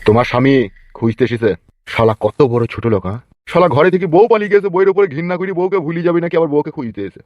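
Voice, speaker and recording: A blustering, smitten village uncle, acted by a man in a comic cartoon. His voice sits high and moves quickly, slightly dull, with music behind it. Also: no room sound, close to an everyday voice. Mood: blustering, irritated. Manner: brash, blustering. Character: smitten, foul-mouthed village uncle, runaway lover. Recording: muffled, music under the voice.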